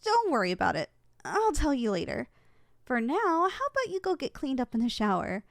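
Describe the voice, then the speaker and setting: High-pitched, animated young succubus girl, played by a woman. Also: normal speed, dry recording, clean studio sound. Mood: flustered, earnest. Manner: sweet, coaxing, easily flustered. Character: young female slime succubus in training, affectionate, competitive. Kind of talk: ASMR roleplay acting.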